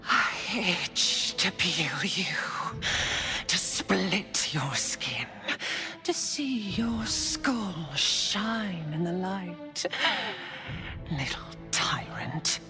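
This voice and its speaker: A woman voicing a sadistic, bloodthirsty female murderer who hisses her threats in a drawn-out whisper. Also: on the low side, big pitch swings, full character voice. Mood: menacing. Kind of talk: video game voice acting. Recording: game cutscene with loud music.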